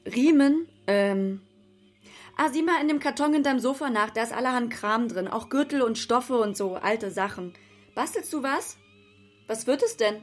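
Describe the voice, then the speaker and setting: A woman's voice: on the high side, animated, normal speed, soft background music. A middle-aged woman playing a practical scientist mother, matter-of-fact and kindly, in a children's radio drama.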